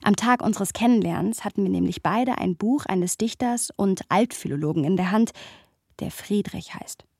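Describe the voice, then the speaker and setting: A woman's voice: medium pitch, moderate pace, gravelly, darkish tone, husky, dry recording, studio quality. An actress playing a wry, playful young woman who narrates memories of a friend in an intimate radio play style. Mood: wistful.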